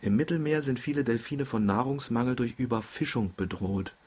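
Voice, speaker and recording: An actor playing a grumbling, excitable middle-aged father in a German radio drama, often muttering to himself. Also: medium pitch, brisk, husky, close to an everyday voice. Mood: absorbed.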